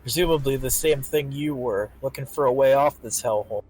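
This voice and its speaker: A man's voice: mid-range voice, fairly quick, darkish tone, natural voice, rough recording. A man playing a weary, guarded starship chief engineer surviving a disaster in a sci-fi game roleplay, plain-spoken and grumbling. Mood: weary, relieved. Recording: noticeable background noise and ambience.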